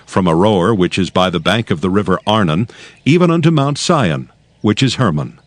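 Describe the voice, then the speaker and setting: A man narrating scripture in a formal, measured storytelling style, as a scripted reader. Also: deep voice, animated, no echo. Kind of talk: scripted dramatized Bible narration.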